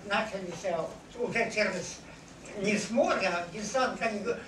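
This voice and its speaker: A man in his eighties or older, a veteran recounting his memories to an audience, haltingly and searching for words. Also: high-pitched. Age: over 80. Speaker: war veteran giving testimony. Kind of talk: unscripted personal recollection to an audience. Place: meeting hall with seated audience. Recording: echoey hall, noisy, distant camera mic.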